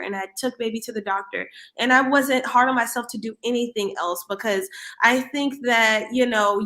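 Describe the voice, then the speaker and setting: A woman, a guest speaking in a quiet, gentle, candid way. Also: fairly high pitch. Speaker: guest, day founder. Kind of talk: casual interview talk.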